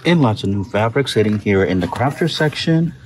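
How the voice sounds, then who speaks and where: A man's voice: lowish voice, expressive, fairly quick, darkish tone. A man hosting a shopping vlog, chatting casually and reading product labels aloud as he walks through a store. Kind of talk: casual store walkthrough narration. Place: inside a large discount store. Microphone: handheld phone camera. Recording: phone recording with store background noise. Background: store ambience.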